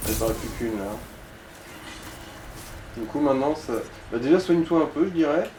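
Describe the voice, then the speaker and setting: A man's voice: a little high, animated, a little fast, a little mellow. A man giving casual advice and commentary during a video game. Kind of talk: casual chat, gaming commentary. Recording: roomy, distant mic, faint noise.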